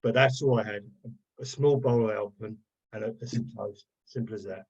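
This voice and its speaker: A man's voice: fairly low pitch, steady tone, a little fast, a little mellow, breathy edge. A man taking part in a webinar, answering questions in a plain, matter-of-fact way. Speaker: webinar participant. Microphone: video call. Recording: muffled video call audio.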